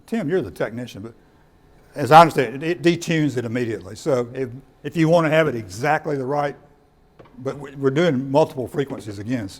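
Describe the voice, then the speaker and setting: A man's voice: on the high side, darkish tone. A man presenting informally at a meeting, explaining in a conversational way and often repeating words as he goes. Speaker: presenter of radio kits at a club meeting. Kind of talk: informal presentation with audience questions. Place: indoor meeting room.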